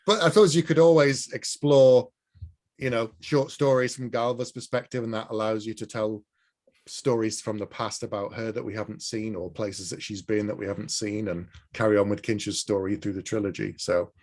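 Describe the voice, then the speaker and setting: A man's voice: fairly low pitch, fairly even intonation, moderate pace, a little mellow. A man from Newcastle hosting an interview, chatting agreeably with his guest over a video call. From Newcastle, England. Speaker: interview host. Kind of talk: casual interview conversation.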